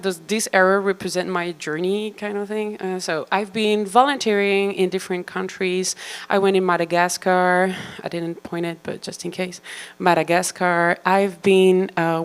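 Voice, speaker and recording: Belgian-accented woman, smooth voice. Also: lowish voice. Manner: friendly, chatty, with many fillers and restarts. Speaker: whale researcher giving a talk. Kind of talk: conference presentation.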